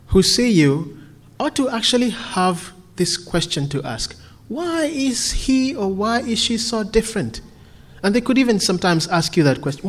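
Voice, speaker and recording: A man from Kenya, preaching earnestly and then answering questions, often repeating words as he restarts. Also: high-pitched. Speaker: visiting preacher.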